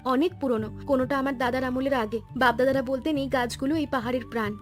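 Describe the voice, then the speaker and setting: A woman's voice: high-pitched, fairly even intonation, fast talker, soft background music, close to an everyday voice. A woman playing a caring, no-nonsense village wife in a cartoon story, solicitous and direct. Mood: concerned, direct.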